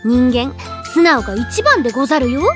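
A high-pitched cheerful samurai girl. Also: sing-song, brisk, over music. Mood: cheerful, friendly. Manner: quaint old-fashioned samurai speech. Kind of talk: Japanese anime audio drama acting.

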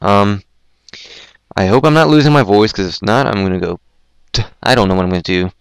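A young man hosting a casual online show, rambling and self-deprecating, with lots of stumbling restarts and a throat that is going hoarse. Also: lowish voice, fairly quick, no room sound. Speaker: podcast host. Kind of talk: casual chat on a Skype call.

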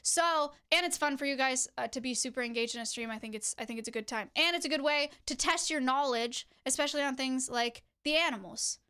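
A woman's voice: high voice, fairly quick, few pauses, sharp tone, clean tone. A young woman streamer chatting to her viewers, giving tips in a teacherly, chatty way. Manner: chatty, teacherly, addresses chat directly. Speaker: streamer host.

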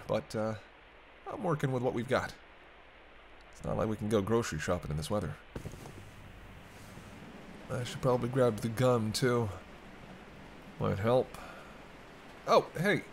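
A man's voice: medium pitch, expressive, unhurried, pauses often. Man playing a sardonic, teasing vampire over storm noise. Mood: casual banter. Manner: sarcastic, casual, sweary banter. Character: teasing, sardonic male vampire held captive by a hunter. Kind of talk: roleplay audio drama, intimate banter. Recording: clear background ambience noise.

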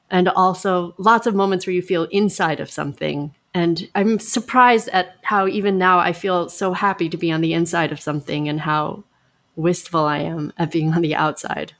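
A woman's voice: on the low side, steady tone, normal speed, fairly bright tone. A woman panel guest talking reflectively and articulately in a casual conversation.

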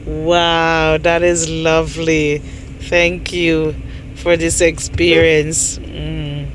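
A middle-aged woman filming from inside a car going through a car wash, exclaiming with excitement and praising God. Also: low voice, drawn-out words. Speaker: vlogger filming her husband. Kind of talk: casual phone vlog. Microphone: phone held at arm's length. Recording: loud car wash noise.

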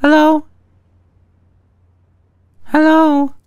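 A woman acts out a young wartime mother in an animated horror short, anxious and protective of her child. Her voice is very high and she speaks gently. There is a faint noise of ambience behind her. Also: drawn-out words, dull audio. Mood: uneasy.

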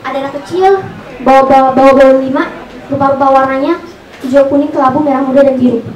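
A woman's voice: high voice, fairly even intonation, fast talker, a little mellow, distant mic. A young woman performing a stand-up comedy routine as storytelling with punchlines. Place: small indoor comedy venue with audience. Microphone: handheld mic through speakers, recorded from audience. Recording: echoey room, off-mic camera audio.

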